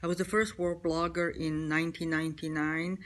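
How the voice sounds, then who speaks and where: A woman, a feminist author, giving a measured prepared statement in a home video recording. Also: low voice, flat delivery, a bit bright. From Serbia.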